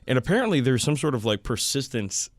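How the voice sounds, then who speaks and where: A man's voice: medium pitch, big pitch swings, fast talker, no room sound. Male podcast co-host, enthusiastic and sweary casual hobby talk, dry close recording. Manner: enthusiastic, profane. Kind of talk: casual hobby chat about tabletop wargaming.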